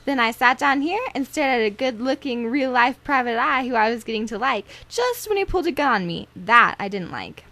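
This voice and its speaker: Woman playing a high-voiced, animated sassy dame. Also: a little fast, hardly any pauses, no echo. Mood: playful, smug.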